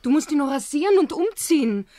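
An actress playing a bossy, reproachful housewife in a radio play, brisk and exasperated, with a Bavarian accent. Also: female voice, high-pitched, lively intonation, mellow, no room sound. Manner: scolding, brisk. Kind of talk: radio play dialogue acting.

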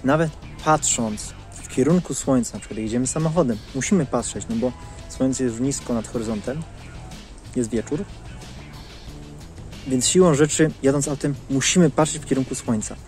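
A man's voice: medium pitch, moderate pace, a little mellow, faint music behind. A man in his thirties giving a casual product review to camera, recorded outdoors on a lapel mic. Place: outdoors in leafy woodland. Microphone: clip-on lapel mic.

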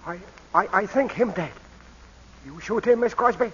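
A man's voice: high voice, normal speed, fairly bright tone, husky. An actor playing a frightened male servant who speaks broken English, in 1940s radio acting. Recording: dull old radio broadcast.

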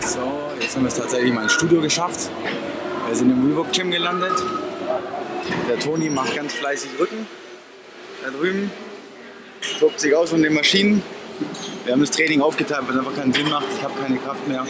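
A man's voice: a little high, brisk, pauses often. A man in his thirties chatting casually to a handheld camera in short, wry remarks as a fitness vlogger. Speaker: fitness vlogger and bodybuilding competitor. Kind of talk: casual vlog chat to camera. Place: on the move: train, taxi, street, hotel, gym. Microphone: camera at arm's length. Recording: noisy handheld camera, loud ambient noise.

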